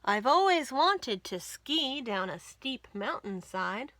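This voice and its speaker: A woman's voice: a little high, sing-song, slow pace, a bit bright, exaggerated voice. A woman voicing a small, innocent male toy bear with wide-eyed wonder in a children's storybook. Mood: delighted. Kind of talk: children's storybook read-aloud.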